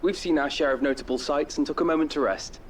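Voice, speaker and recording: A man voicing a courteous, formal young companion in a video game, polite and measured. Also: fairly high pitch, far from the mic, slight background noise, lightly acted. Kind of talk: scripted video game voice acting.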